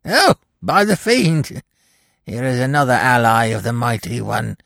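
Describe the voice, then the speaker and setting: A man voicing a misshapen, malevolent dwarf magician in a dramatic story reading, with theatrical, grandiose, mockingly genial delivery and a gravelly, creaky voice. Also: mid-range voice, fairly bright tone, no echo, clean studio sound, voice pushed up. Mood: mocking curiosity. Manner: theatrical, drawn-out, archaic grandiose speech. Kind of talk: dramatic audiobook character reading.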